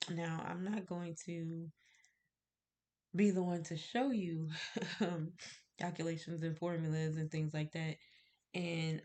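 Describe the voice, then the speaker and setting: A woman's voice: fairly low pitch, a little mellow. A woman with a stuffy cold, sounding nasal, chatting casually to camera about her candle-making and rambling with fillers and little laughs. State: stuffy, congested.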